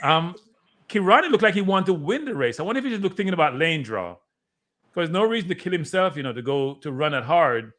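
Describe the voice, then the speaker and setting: A man's voice: a little high, expressive, fast, fairly bright tone. A man hosting a watchalong, giving casual live sports commentary. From Jamaica.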